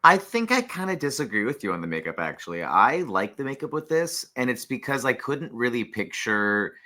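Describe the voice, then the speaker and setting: A man's voice: medium pitch, sing-song, brisk, sharp tone. A man co-hosting a podcast, offering casual, opinionated commentary in a conversational way. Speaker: podcast co-host reviewing drag runway looks.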